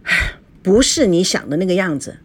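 A woman's voice: on the high side, very animated, husky, pitched above the performer's own voice, full character voice. Woman playing a flustered, placating male manager. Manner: halting, sighing, pleading.

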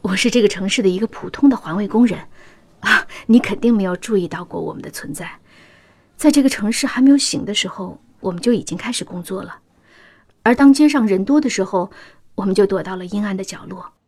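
A woman's voice: medium pitch, a little fast, a little mellow, natural voice. An actress playing a devoted, anxious working-class mother in a science-fiction radio drama, pleading and sighing emotionally. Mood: tender, wistful.

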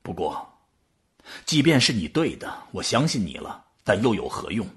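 Male actor as troubled human skeptic, lowish voice, very animated delivery, dramatic, questioning, sci-fi radio play. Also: normal speed. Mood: skeptical. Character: skeptical, troubled human man confronting a machine. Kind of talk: science-fiction radio drama acting.

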